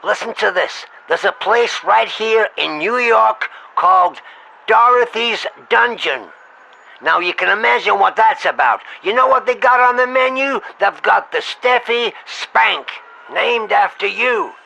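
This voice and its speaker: A man's voice: high-pitched, expressive, unhurried, vocal fry, pushed and bright, exaggerated voice. A man playing an exasperated, foul-mouthed talent agent in a comedy phone-call sketch, blunt and sarcastic as he scolds his client. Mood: exasperated, dismissive. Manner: blunt, scolding, sarcastic, talking over the other.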